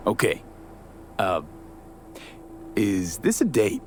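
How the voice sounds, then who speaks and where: An actor playing a laid-back, wry young American mercenary in a video game, dry and casual. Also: male voice, on the low side, wildly swooping pitch, slow, slight background noise, heavily acted. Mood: playful. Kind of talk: video game dialogue acting.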